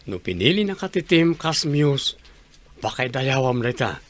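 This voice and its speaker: A man's voice: mid-range voice, very animated, a little slow, no echo. A man playing a proud, easygoing, supportive father in a radio drama, speaking fondly and encouragingly. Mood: proud, reassuring.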